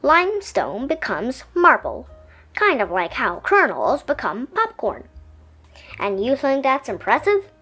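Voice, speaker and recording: A woman reads a picture book aloud for children. Her voice is high and highly melodic, explaining things expressively, with soft music behind her. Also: unhurried. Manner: expressive, explanatory storytelling delivery. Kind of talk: children's science book read-aloud, scripted narration.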